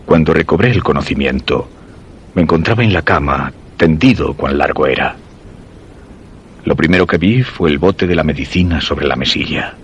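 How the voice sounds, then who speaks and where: A man's voice: rumbling low, normal speed, slight background noise. An actor from a suspense radio drama playing a terrified husband recounting his ordeal, in a Spanish accent from Spain, over music. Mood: tense dread. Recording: music behind the voice.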